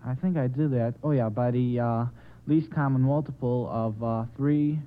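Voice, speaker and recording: A man's voice: medium pitch, fairly even intonation, moderate pace, clear, resonant tone. A male quiz contestant thinking aloud hesitantly, working out an answer step by step on an old radio recording. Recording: tinny narrow 1950s radio recording.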